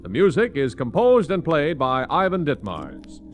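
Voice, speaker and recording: A man's voice: a little high, huge pitch swings, a little slow. A man announcing scripted credits as a radio announcer in 1940s style. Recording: vintage radio, faint background noise.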